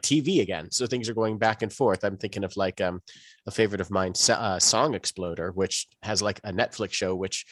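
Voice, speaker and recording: A man's voice: lowish voice, dry recording. A man chatting casually as a podcast co-host, hesitant and often circling back to rephrase himself. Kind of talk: casual chat.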